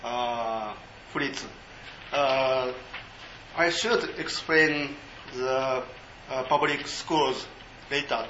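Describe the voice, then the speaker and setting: A man giving an informal seminar talk in English, halting and full of fillers. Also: mid-range voice, bright, forceful tone, roomy sound, muffled recording, a little hiss or hum. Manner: halting, many fillers, non-native English.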